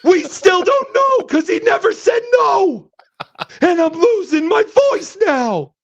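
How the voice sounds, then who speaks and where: Hoarse squeaky braggart troll. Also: male voice, big pitch swings, exaggerated voice. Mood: boastful. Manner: boastful, taunting, drawn-out delivery. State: hoarse, losing his voice. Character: brash shouting online troll who calls himself Daddy. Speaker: guest host. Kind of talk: live stream chat. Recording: dull lo-fi stream audio.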